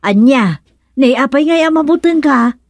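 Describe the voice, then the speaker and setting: A woman's voice: high voice, animated, normal speed, darkish tone, no echo. A woman acting in a radio comedy as an exasperated middle-aged farm wife and aunt, full of exclamations and questions. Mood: dismayed.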